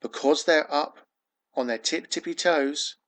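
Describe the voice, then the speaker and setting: A man's voice: fairly high pitch, a little slow, fairly bright tone. A man reading his own rhyming children's story aloud, expressively, with dramatic emphasis and sing-song refrains. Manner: dramatic emphasis on key words.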